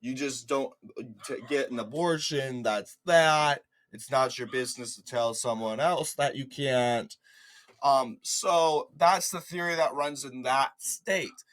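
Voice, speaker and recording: A man's voice: medium pitch, measured pace, fairly bright tone. Congested man mocking election takes. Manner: mocking, dismissive, with restarts and stumbles. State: congested, voice cracking from a cold. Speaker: political commentary host. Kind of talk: casual screen-share commentary.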